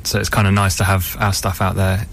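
A man's voice: low voice, little pitch movement, brisk, gravelly, slight background noise. A man in a band, chatting casually as a guest in a radio studio interview.

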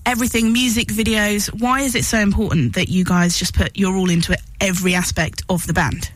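A woman's voice: medium pitch, steady tone, fairly quick, dry recording, low-quality recording. A woman hosting a radio interview in a studio, speaking into a studio microphone. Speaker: radio host.

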